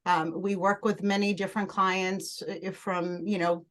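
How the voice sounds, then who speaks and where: A middle-aged woman with a Portuguese accent, a mortgage loan originator introducing herself on a podcast in an earnest way, with many halting restarts. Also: fairly low pitch, level tone, fairly bright tone.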